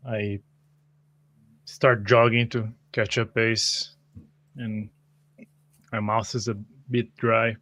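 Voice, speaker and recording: A man roleplaying at a tabletop game, improvising in a formal, courteous, measured manner. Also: on the low side, flat delivery, fairly bright tone, no echo.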